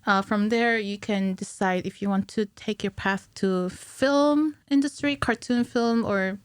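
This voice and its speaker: A woman's voice: mid-range voice, unhurried, clean tone, dry recording. A woman around her thirties in casual interview chat as a guest, explaining things in a giggly, informal way. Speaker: podcast guest, animator. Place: small indoor podcast studio. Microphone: close studio mic on a stand.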